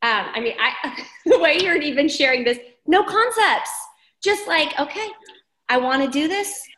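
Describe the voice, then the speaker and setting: Woman coach, bright voice, upbeat and emphatic, echoey room. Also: high-pitched, expressive, normal speed. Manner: emphatic, affirming, repeats key phrases. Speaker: team coach leading a training call. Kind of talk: encouraging group training talk.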